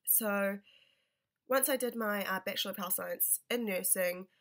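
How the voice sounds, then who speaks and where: A woman's voice: mid-range voice, unhurried, a bit bright. A woman in her twenties with a nasal voice from a cold, giving rambling vlog advice with lots of ums, on a webcam in a small bedroom. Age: 20s. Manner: rambling, many ums and restarts. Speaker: registered nurse vlogger. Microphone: webcam at close range.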